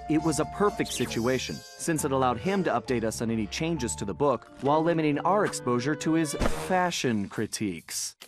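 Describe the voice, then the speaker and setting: Man voicing a lively, cocky raccoon master thief. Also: medium pitch, brisk, hardly any pauses, lightly acted. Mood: reflective. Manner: wry, smooth storytelling. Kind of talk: animated video game narration and dialogue. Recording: clear music bed behind.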